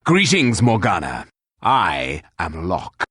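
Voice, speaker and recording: A man voicing a stern, humorless, gruff hired warrior in a video game, with a husky voice and a curt, scornful way of speaking. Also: low-pitched, huge pitch swings, slow, fairly bright tone, no echo, clean studio sound, heavily acted. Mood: formal.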